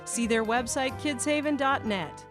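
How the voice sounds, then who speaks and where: A woman's voice: high voice, measured pace. A woman with an American accent reading a scripted radio advertisement. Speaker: radio ad announcer. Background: music.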